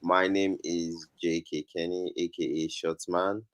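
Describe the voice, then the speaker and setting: A man's voice: sits low, level tone, measured pace. A man from Nigeria with a Nigerian accent, chatting casually as a comedian guest on a talk show.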